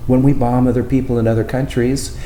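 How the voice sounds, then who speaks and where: A man's voice: medium pitch, little pitch movement, fast, mellow. A middle-aged man who grew up in Taiwan and Los Angeles, talking earnestly as a musician guest in an interview, often repeating words. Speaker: guest saxophonist and teacher. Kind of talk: casual interview talk. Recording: echoey room with faint noise.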